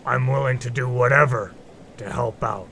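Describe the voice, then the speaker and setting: A man's voice: mid-range voice, moderate pace, gravelly, bright, forceful tone, a little hiss or hum. A man playing a laid-back, reluctant but kindly young magician in an audio drama, casual and wry. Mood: earnest.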